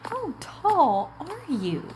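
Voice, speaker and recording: A woman giving casual, playful reaction commentary over a game, with drawn-out exclamations. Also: extremely high pitch, wildly swooping pitch. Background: game music.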